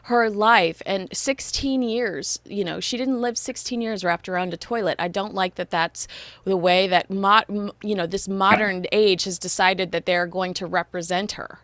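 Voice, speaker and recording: A woman's voice: medium pitch, steady tone, moderate pace, a bit bright, no room sound. Emphatic woman host, dry close sound. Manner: emphatic, opinionated. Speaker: podcast host. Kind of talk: casual interview chat.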